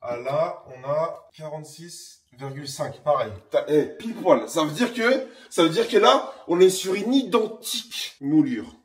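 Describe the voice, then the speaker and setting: A man's voice: on the high side, expressive, a little slow, few pauses. A man vlogging about a home renovation, enthusiastic and slangy, repeating his points for emphasis. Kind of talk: casual home renovation vlog.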